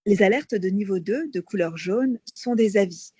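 A woman's voice: medium pitch, lively intonation, moderate pace, dark tone, breathy edge, no echo, clean studio sound. A woman co-hosting a radio magazine, presenting information and putting questions as an interviewer. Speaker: radio co-host.

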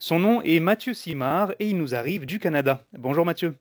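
A man presents a radio magazine in a fairly high voice. His delivery is measured and explanatory. The sound is dry, with very little room around it. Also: expressive, no room sound. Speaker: radio co-host.

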